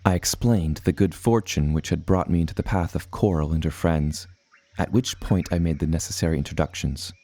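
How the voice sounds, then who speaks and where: A sailor narrating his adventure story, really low voice, earnest, kinda old-timey formal wording. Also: fairly quick, warm tone. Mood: earnest storytelling. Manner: formal, old-fashioned literary diction. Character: male seafaring adventurer telling his tale, earnest, formal.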